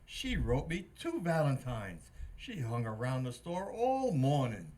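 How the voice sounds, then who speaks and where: Smug butcher suitor played by a man, slow delivery with lively pitch swings, radio comedy. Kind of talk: old-time radio comedy acting.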